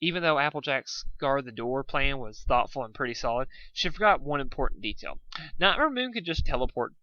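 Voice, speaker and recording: A man narrates a story in an amateur, unpolished way, sometimes tripping on a word. His voice is bright and forceful. The recording is close and dry, a touch dull. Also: medium pitch, animated, brisk, dry recording. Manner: stumbles and repeats words. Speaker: fan fiction reader.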